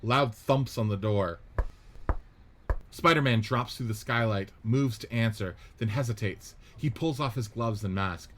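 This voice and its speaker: A man narrating stage directions in a measured, matter-of-fact way during a radio play script reading. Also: mid-range voice, fairly bright tone, slight background noise.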